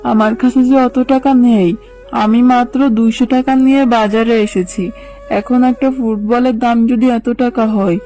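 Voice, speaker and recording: A woman's voice: on the high side, steady tone, moderate pace, mellow, soft background music, lightly acted. A woman voicing a poor, weary but caring fox mother in a cartoon, plaintive and motherly. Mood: anxious, pleading. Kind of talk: cartoon dubbing.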